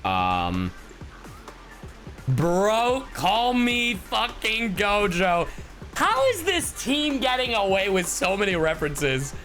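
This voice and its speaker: A man's voice: high-pitched, very animated, slow pace, a bit bright, faint music behind. A man streaming casual live reactions to a game, joking and slangy. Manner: joking, slangy, breaks into humming and catchphrases.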